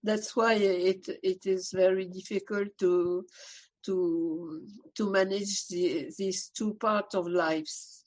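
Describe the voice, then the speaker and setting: A woman with an Italian accent, a native Italian speaker, sharing in a workshop over a video call, halting and searching for her words. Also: medium pitch, fairly even intonation, poor audio. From Italy. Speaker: participant.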